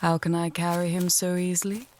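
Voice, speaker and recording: A woman playing a wry, confident mage and healer in a fantasy audio tale, speaking in a measured, articulate, dryly teasing way. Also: fairly low pitch, flat delivery, darkish tone, a little hiss or hum, close to an everyday voice. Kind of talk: fantasy audio roleplay, addressing the listener.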